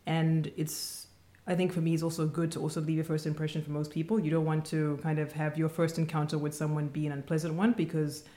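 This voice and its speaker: Calm, measured woman podcast co-host. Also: deep voice. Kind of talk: casual podcast chat.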